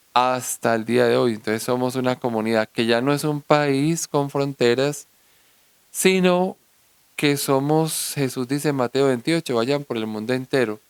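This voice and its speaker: A priest delivers a sermon in a mid-pitched, smooth and resonant voice. He quotes scripture and presses his points with rising emphasis. The sound is dry and close. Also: no echo.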